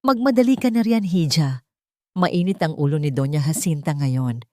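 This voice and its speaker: A woman's voice: low voice, normal speed, darkish tone, dry recording, clean studio sound, close to an everyday voice. A woman voicing a tired elderly household cook in an audiobook drama, urging and confiding like a motherly elder. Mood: urgent, confiding.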